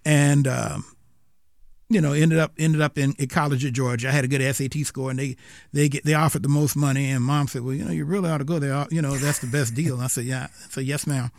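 A man's voice: medium pitch, fairly even intonation, fast talker, vocal fry, no echo, studio quality. An older man from South Carolina, a retiring history professor, telling his story in a rambling way, with many restarts and fillers. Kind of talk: unscripted interview.

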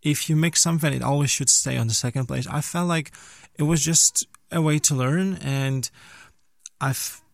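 A man's voice: on the high side, normal speed, creaky, dry recording. A man in his twenties from western Ukraine, a native Ukrainian speaker, answering questions as an interview guest in a conversational way. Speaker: interview guest, language teacher and student.

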